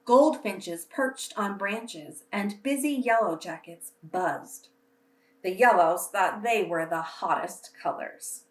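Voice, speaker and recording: A woman reads a picture book aloud for children at an unhurried pace. Her voice sits at a middle pitch. She is recorded at some distance from the microphone in an echoey room. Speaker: read-aloud storyteller for children. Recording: roomy echo, distant microphone.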